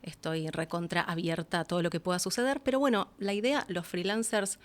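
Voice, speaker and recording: A woman's voice: fairly low pitch, steady tone, a little fast. A young adult woman with an Argentine accent, speaking informally and reflectively as a guest in a casual interview. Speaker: interview guest, voice actress and announcer.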